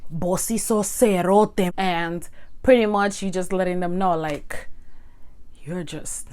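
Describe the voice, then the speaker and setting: A young woman from Guatemala with a Guatemalan accent, casually teaching slang to the camera in a chatty, animated way. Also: fairly low pitch, slow pace. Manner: chatty and animated, gesturing with her hands. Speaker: vlogger. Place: small bedroom indoors.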